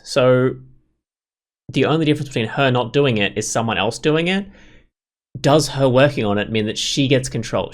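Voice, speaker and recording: A man hosting a gaming video, giving casual commentary and reading game text aloud in a reflective way. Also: medium pitch.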